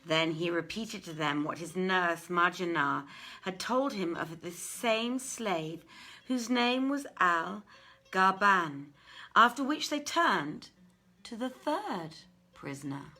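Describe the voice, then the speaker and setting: Female storyteller, unhurried and theatrical, forceful bright tone, deliberate phrasing for classic literary narration. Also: lowish voice, animated, soft background music. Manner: theatrical, measured, deliberate delivery. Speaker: storyteller reading aloud. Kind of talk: dramatic literary story reading.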